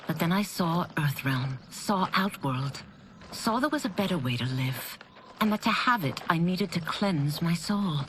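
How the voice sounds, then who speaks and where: A woman playing a solemn, repentant demoness in a video game cutscene, speaking gravely and formally. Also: deep voice, fairly bright tone, a little hiss or hum. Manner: grave, formal, measured speech. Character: solemn repentant demon woman seeking to purify her soul.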